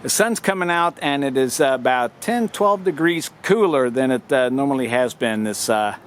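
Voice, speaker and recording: A man who runs live sound, giving a plain, practical walkthrough in a casual vlog, pausing often with "uh". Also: medium pitch, animated, reverberant. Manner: plain, practical, frequent "uh" pauses. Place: outdoors at a brewery gig.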